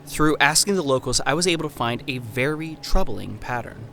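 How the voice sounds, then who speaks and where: Man acting a bright-voiced, wary scholar reporting by letter. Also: medium pitch, expressive, slight background noise. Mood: intent, troubled. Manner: formal, precise, measured diction. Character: wary young male anthropologist reporting by letter, 1930s. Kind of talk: scripted horror audio drama, letter narration.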